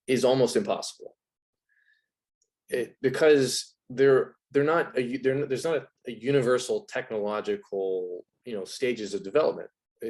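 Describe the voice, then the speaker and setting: A man's voice: mid-range voice, darkish tone. A man speaking as an expert guest in a casual discussion, explaining his view directly and with examples.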